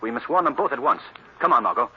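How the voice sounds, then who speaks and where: Male actor as urbane, decisive amateur detective, very expressive pitch, on dull, slightly noisy vintage radio. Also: fast, lightly acted. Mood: urgent. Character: decisive, analytical male gentleman sleuth. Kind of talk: 1940s radio mystery acting.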